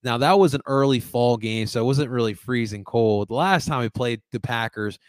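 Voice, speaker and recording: Chatty sports host with Spanish accent. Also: male voice, mid-range voice, moderate pace, no room sound, studio quality. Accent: Latin American Spanish. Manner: rambling, repeats words, laughs often, chats to viewers. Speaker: sports podcast host reading live chat. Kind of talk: casual live-stream sports talk.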